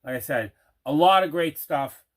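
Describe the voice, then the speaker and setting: A man's voice: a little high, moderate pace, a bit bright. A man hosting a collector's video channel, chatting casually through an unboxing with emphatic, repeated phrases. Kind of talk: casual unboxing vlog chat.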